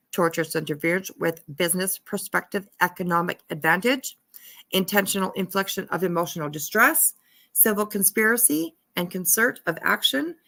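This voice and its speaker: A woman's voice: mid-range voice, gravelly, fairly bright tone. A woman commenting casually and reading documents aloud, irreverent and giggly in manner. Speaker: livestream host.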